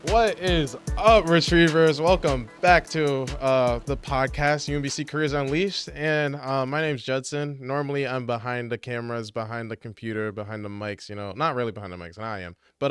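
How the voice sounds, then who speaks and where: A young man hosts a relaxed podcast chat, easygoing and quick to laugh, filling gaps with plenty of "yeah" and "uh". His voice sits at an ordinary middle pitch and comes across smooth and clean. The studio sound is dry and close. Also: no room sound, faint music behind. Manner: laid-back, frequent "yeah" and "uh" fillers, laughs easily. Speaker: podcast host and editor. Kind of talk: casual podcast interview.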